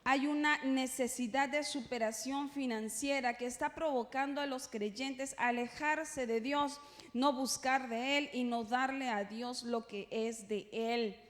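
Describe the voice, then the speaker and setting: A woman with a Peruvian Spanish accent and a high voice teaches a church class. She speaks informally, with playful asides and frequent little checking tags. Her voice rings through a hall with long reverb. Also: steady tone, measured pace. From Peru.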